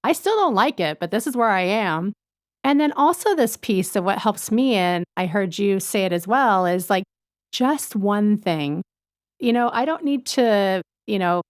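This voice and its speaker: A woman in her fifties co-hosting a podcast, talking things through thoughtfully and often pausing to search for words. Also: medium pitch, animated, dry recording, clean studio sound. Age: 50s. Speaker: podcast co-host. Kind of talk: reflective casual chat.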